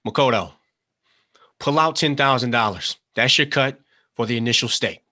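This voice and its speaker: A man's voice: mid-range voice, moderate pace, pushed and bright, lightly acted. A man acting a hot-tempered, desperate man in a crime drama table read, intense and foul-mouthed. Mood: threatening.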